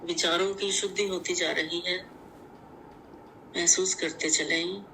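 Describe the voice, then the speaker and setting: A woman leads a guided meditation in measured, deliberate, instructive short phrases. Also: fairly low pitch, fairly even intonation, sharp tone, slight background noise, low-quality recording.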